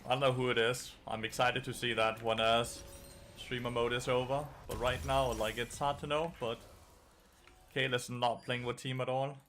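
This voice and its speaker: A man's voice: medium pitch, little pitch movement, moderate pace, fairly bright tone, slight background noise. A man with a Danish accent, casually commentating his own gameplay while streaming and answering chat. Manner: casual, swears, answers chat between plays. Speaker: game streamer and coach.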